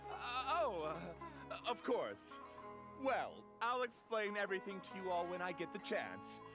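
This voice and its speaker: A nerdy, eager male inventor, wordy and polite, with a high voice. His pitch moves about animatedly, game-style, over plucked-string music. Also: big pitch swings, measured pace. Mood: flustered, polite. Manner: formal, wordy, eager to explain. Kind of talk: video game voice acting.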